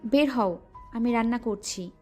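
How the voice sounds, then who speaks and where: A woman's voice: fairly high pitch, a little slow, lightly acted. A female voice actor playing a shy, teasing young wife in an audio romance drama. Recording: music bed underneath.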